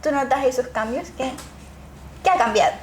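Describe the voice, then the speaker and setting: A woman hosting a podcast, asking gentle, attentive follow-up questions. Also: sits high, expressive, moderate pace. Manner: soft-spoken, attentive, brief backchannels. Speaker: podcast host. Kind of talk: interview questions. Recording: roomy with light noise.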